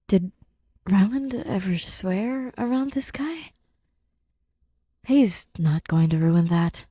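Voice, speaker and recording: A woman narrating scripted fiction in an intimate, subdued, halting way, her breathy voice often near a whisper. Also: fairly low pitch, dark tone, no room sound, studio quality. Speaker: podfic reader.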